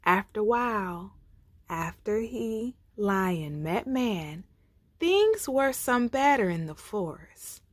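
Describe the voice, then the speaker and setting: A woman reads a children's story aloud at an unhurried pace. Her pitch moves widely, with theatrical, deliberate phrasing. The recording is close and dry. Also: sing-song, no echo. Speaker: storytime reader. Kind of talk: expressive read-aloud of children's folktales.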